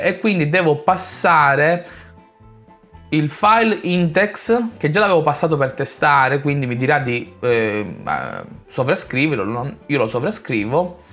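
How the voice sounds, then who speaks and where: A man's voice: fairly high pitch, fairly bright tone. A man in his thirties giving a casual, informal coding tutorial as a channel host, explaining step by step. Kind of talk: casual screencast tutorial narration. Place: small home room, indoors. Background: faint background music.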